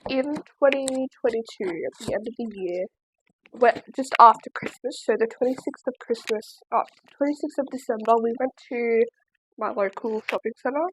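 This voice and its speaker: A woman's voice: on the high side, moderate pace, background noise. An eighteen-year-old Australian woman chatting casually in a home vlog, rambling with lots of likes and ums. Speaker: crochet vlogger. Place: at home, seated in a chair.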